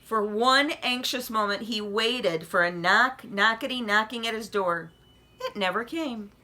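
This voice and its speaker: A woman reading a children's story aloud as an expressive narrator. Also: a little high, a little slow. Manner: animated, addresses young listeners with questions.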